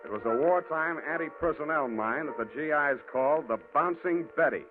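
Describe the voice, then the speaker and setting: An actor playing a hardboiled private detective who narrates and talks in a clipped, dry way in 1940s radio style. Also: male voice, fairly high pitch, moderate pace, lightly acted. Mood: narrating. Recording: tinny old radio broadcast with music.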